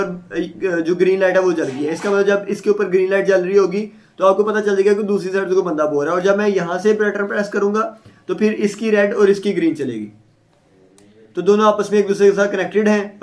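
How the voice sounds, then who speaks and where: A man giving a casual, step-by-step product unboxing and demonstration, often checking in with small tag phrases as he explains. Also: high-pitched. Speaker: product reviewer. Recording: echoey room.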